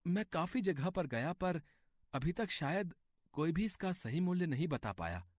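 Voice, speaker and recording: A man's voice: fairly high pitch, sing-song, a little slow, dry recording, clean studio sound. A man playing a troubled, humble villager in a moral story, earnest, polite and hesitant. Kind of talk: dramatized Hindi moral story. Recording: faint music behind the voice.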